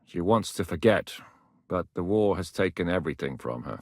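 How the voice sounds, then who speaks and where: A man voicing a blunt, steady mercenary captain in a video game, gruff and plain-spoken, recorded in a dry studio booth. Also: low voice, normal speed, dry recording. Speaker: mercenary leader.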